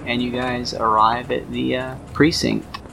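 A man's voice: mid-range voice, level tone, unhurried, creaky, noisy background. A man narrating a tabletop role-playing game as game master, improvising the scene for the players.

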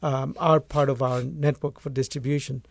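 Gravelly man from Guatemala. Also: mid-range voice, steady tone, moderate pace, no room sound. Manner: earnest, restarts phrases often. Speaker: poultry farmer and author. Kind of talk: conversational interview answers.

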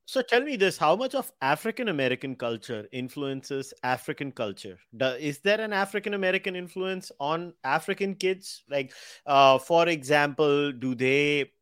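A man's voice: fairly high pitch, lively intonation, moderate pace, a bit bright, smooth, no room sound, clean studio sound. A man from India, hosting a podcast and asking long, probing questions, often repeating words as he builds his point. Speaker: podcast host. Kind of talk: interview questions.

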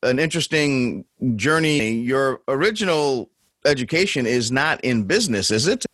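A man's voice: medium pitch, animated, moderate pace, a bit bright, no echo. A man hosting a podcast, asking questions in a conversational interview style. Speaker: podcast host, magazine editor.

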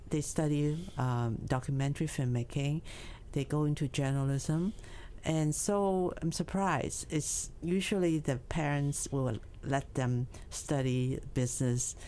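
A woman speaks in a notably low voice. She answers in short halting phrases, pausing on fillers as she searches for words. Faint noise sits behind her. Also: dark tone. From Hong Kong. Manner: hesitant, halting speech with many fillers. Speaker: documentary filmmaker and teacher.

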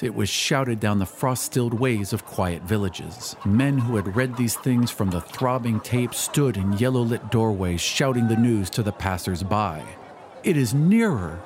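A man's voice: lowish voice, normal speed, hardly any pauses, a little mellow, a little hiss or hum. A man giving a dramatic scripted narration of a story, as in an audio drama. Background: music.

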